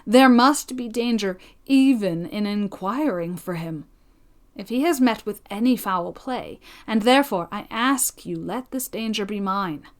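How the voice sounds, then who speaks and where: A woman reading a storybook voices a devoted, cautious gentleman suitor who pleads in formal period speech. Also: mid-range voice, lively intonation, measured pace. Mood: solemn pleading.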